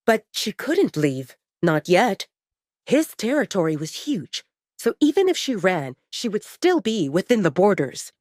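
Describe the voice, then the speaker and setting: A woman's voice: medium pitch, very animated, unhurried, a little mellow, dry recording. Dry close-sounding woman narrating dramatic fiction. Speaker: audiobook narrator.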